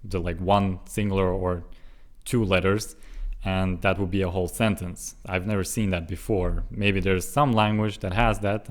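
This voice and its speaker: Young man, from Switzerland, low voice, casual vlog talk, careful with foreign words. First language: English and Russian. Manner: careful and halting on hard foreign words, chatty and musing otherwise. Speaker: language-learning vlogger.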